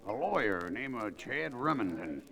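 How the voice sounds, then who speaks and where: A man's voice: lowish voice, big pitch swings, moderate pace, gravelly, fairly bright tone, heavily acted. An actor playing a crude, quarrelsome American gunslinger henchman in an old-time radio Western, talking in folksy slang. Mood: servile, folksy. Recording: vintage radio recording, crowd noise behind.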